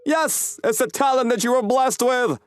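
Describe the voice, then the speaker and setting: A man's voice: very high-pitched, moderate pace, clear, resonant tone, no echo, soft background music. A man playing an earnest, encouraging professional basketball coach in an anime-style dub. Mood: earnest, persuasive.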